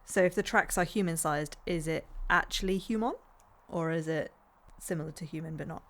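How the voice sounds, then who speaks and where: A woman's voice: low voice, moderate pace, a bit bright. A woman playing a tabletop roleplaying game, talking casually in a thoughtful, questioning way.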